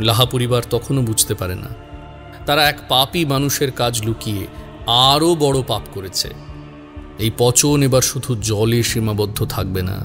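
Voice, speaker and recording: Guy telling a scary story, dramatic n measured, drags out key words, music under him. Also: medium pitch, a bit bright. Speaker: horror story narrator. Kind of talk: dramatic scripted narration.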